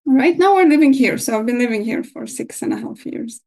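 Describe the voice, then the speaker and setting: Hesitant woman in her thirties, slightly high. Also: expressive, brisk, vocal fry, darkish tone. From Russia, grew up in Israel. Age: 30s. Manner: hesitant, many ums and uhs. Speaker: guest. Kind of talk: casual interview.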